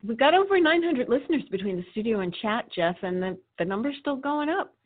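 Woman acting husky radio producer, slightly high. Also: animated, a little fast. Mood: brisk. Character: female radio producer, wry, brisk, teasing. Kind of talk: radio drama studio talk and announcing. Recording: thin phone-like audio with music.

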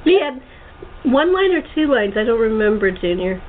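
A woman's voice: on the high side, sing-song, normal speed. A woman hosting a casual interview chat, talking in a chatty, jokey way. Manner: chatty, jokey, self-described sarcastic. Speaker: host and radio playwright. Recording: noisy, tinny phone-line audio.

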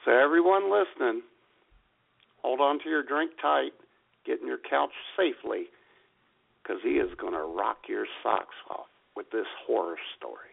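A phone-like, narrow recording carries a male comedy co-host with a lot of creak in his voice. He banters casually and sardonically, with lively swings in intonation. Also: medium pitch, normal speed. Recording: tinny, narrow phone-like sound.

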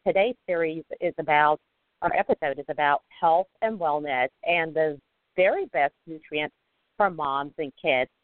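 A woman's voice: low voice, normal speed, dry recording. Upbeat woman host on a phone line. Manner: upbeat, chatty, many fillers. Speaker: talk show host. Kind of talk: casual online radio interview. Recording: dry tinny phone line.

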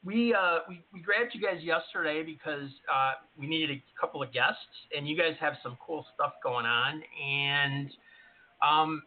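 A man's voice: a little high, unhurried. A man co-hosting a live online show from home, chatting casually and apologizing for the noise outside. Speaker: podcast co-host. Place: home office with yard work outside. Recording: tinny call-in audio with faint hiss.

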